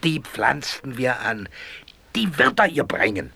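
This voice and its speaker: An actor playing an eager, homesick captive companion of a knight, with a rough, gravelly voice, in a rhymed fairy-tale audio drama. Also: male voice, medium pitch, sing-song, measured pace, pushed and bright, dry recording, heavily acted. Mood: eager, plotting.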